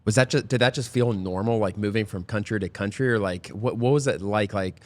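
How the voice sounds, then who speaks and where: A man with a low voice co-hosts a casual interview. His questions wander and restart, peppered with "like," as he feels his way toward the point. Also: brisk. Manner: loose, rambling questions full of "like". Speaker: podcast co-host.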